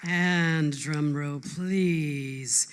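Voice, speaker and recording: A woman's voice: sits low, fairly even intonation, extremely slow, over a noisy crowd, heavy background noise. A woman presenting at a live awards ceremony.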